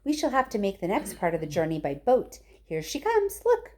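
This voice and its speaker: Woman as eccentric male factory owner, storybook, gleeful, exclamatory, very animated, soft-toned. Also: mid-range voice, fairly quick. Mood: excited, hurried. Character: excitable, giggling, whimsical old male chocolate factory owner.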